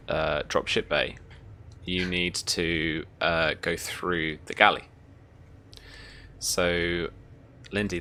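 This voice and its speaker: A woman game master with a very low, bright voice. She narrates casually, as if talking to friends round a table, with some noise on the recording. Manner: casual, conversational.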